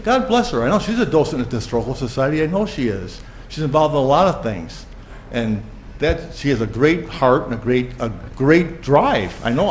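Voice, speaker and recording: A man speaking at public comment in a village board meeting, emphatic and heartfelt, leaning on certain words and repeating himself. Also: mid-range voice. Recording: echoey meeting room, faint hiss.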